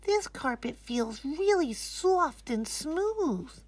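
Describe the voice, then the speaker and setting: Woman putting on an excitable, high-pitched little girl. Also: no room sound, exaggerated voice. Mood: wide-eyed wonder. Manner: exclaiming, drawn-out words.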